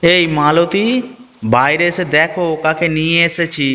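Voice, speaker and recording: A man's voice: a little high, level tone, normal speed, pushed and bright. A man voicing a kind-hearted village fisherman in a cartoon story, concerned and coaxing, with music behind. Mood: kindly, coaxing. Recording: background music.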